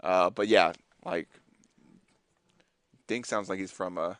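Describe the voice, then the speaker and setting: A man from Texas with a Texan accent, co-commentating a fighting-game tournament in relaxed, chatty banter. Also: low voice, slow, clean tone, dry recording, clean studio sound. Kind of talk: live esports commentary.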